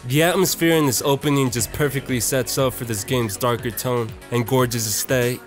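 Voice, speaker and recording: A man's voice: medium pitch, steady tone, brisk. A young man narrating a casual, opinionated video essay in a slangy, profane and irreverent manner. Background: music.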